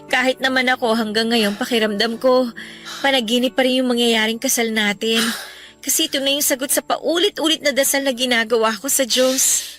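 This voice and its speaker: Casting: woman playing heartfelt Filipina bride-to-be, very high bright voice, radio melodrama over soft music. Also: fairly even intonation, brisk, natural voice. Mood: grateful, tender. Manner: tender, heartfelt, emotional. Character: devoted bride-to-be and mother, tender, pleading. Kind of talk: Filipino radio drama acting.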